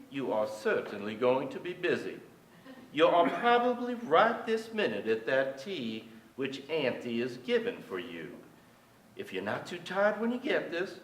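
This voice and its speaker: A man's voice: fairly high pitch, fairly bright tone. A mature man giving a dramatic reading from a script on a theatre stage. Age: middle-aged or older. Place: theatre stage before an audience. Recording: roomy theatre stage sound.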